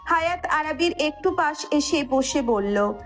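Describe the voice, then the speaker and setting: A woman's voice: high voice, animated, measured pace, soft background music. A woman with a Bangladeshi accent narrating a scripted romantic audio story.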